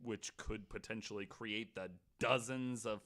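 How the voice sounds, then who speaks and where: Bright-voiced man swearing through a story. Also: on the low side, fairly even intonation, a little slow. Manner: swears freely; peppers talk with "like" and "right". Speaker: podcast host. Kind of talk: casual storytelling on a panel podcast.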